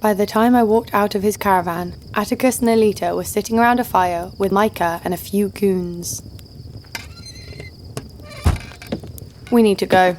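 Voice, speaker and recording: A woman playing a jaded, sardonic killer who narrates her own story in a deadpan way for an audio drama. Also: mid-range voice, brisk, a little hiss or hum, close to an everyday voice. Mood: wry. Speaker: narrator and lead actor. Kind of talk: first-person scripted narration.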